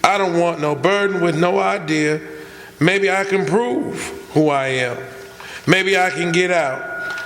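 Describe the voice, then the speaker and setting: A man playing a bitter, hopeless young backwoods prisoner in a radio drama, defiant and resigned, with rough rural grammar. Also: high voice, slow pace, a bit bright, slight background noise, heavily acted. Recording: echoey hall stage recording.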